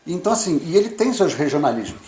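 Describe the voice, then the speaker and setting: A man's voice: a little high, big pitch swings, quick pace, mellow. A Brazilian man in his fifties, co-hosting a casual chat and rambling with repeated words. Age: 50s. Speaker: co-host, immigrant journalist. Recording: echoey room.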